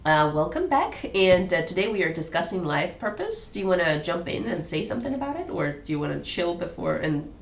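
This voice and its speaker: Woman, discussion host, low voice, very lively pitch, rambling, roomy echo. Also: a little fast, hardly any pauses, a little hiss or hum. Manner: rambling, restarts, laughs often. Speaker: host of an online discussion room. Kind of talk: casual group discussion.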